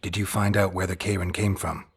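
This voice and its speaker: A man playing a stoic mutant monster hunter in a video game, terse and deadpan with a dry wit. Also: a little fast. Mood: deadpan, probing. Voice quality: husky, gravelly low voice.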